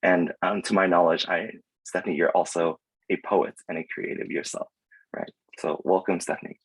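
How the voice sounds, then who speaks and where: A man moderating over a video call, reading introductions from a script with a few fillers. His voice is very deep and husky, and the sound is close and dry. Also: steady tone, normal speed, no echo, low-quality recording. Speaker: event moderator.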